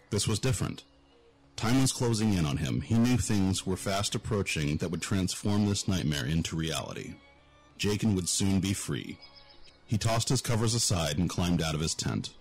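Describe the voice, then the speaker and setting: A man's voice: deep voice, breathy edge. A man giving scripted narration for a fantasy audio drama.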